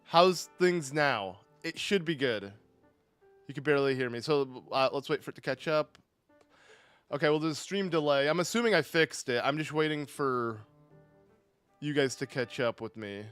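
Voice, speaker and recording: A man's voice: a little high, moderate pace, fairly bright tone, clear, resonant tone, no room sound, soft background music. A young man casually commenting on a live game stream, rambling and correcting himself with little chuckles into a desk microphone.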